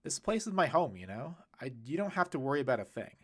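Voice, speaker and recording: A man's voice: on the high side, sing-song, quick pace. Man doing an upbeat teenage girl, chatty, slightly raised voice, storybook. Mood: reassuring. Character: teenage girl, cheerful and reassuring.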